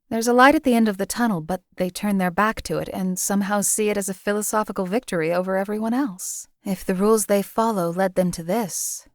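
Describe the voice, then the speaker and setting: A woman's voice: mid-range voice, dry recording, lightly acted. A woman voicing a cold, detached female assassin companion in a video game, talking in a dry, coolly contemptuous way.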